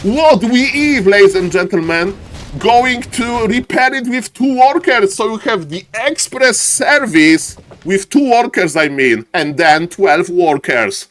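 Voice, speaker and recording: High-voiced Polish-accented man casting a game. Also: unhurried. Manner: excitable, repeats phrases for emphasis. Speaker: esports caster and live streamer. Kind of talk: live strategy game commentary with chat banter.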